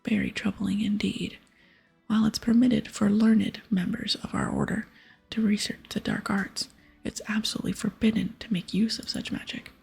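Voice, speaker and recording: A stern, aged guild wizard read by a woman speaks in a formal, measured whisper. The voice is very breathy with a gravelly edge, like ASMR game dialogue, over soft music. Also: mid-range voice, level tone, heavily acted, low-quality recording.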